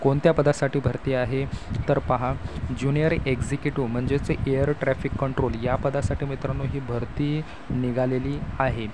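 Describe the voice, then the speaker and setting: A man from Maharashtra presenting an informational explainer to online viewers, reading out details with hesitant pauses. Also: mid-range voice, little pitch movement, noisy background. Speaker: YouTube host announcing job openings.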